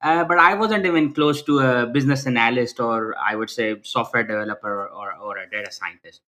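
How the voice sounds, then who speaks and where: A man's voice: mid-range voice, fairly quick, sharp tone. A man, answering interview questions earnestly over a video call, restarting phrases often and ending points with "right?". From Pakistan. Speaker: guest.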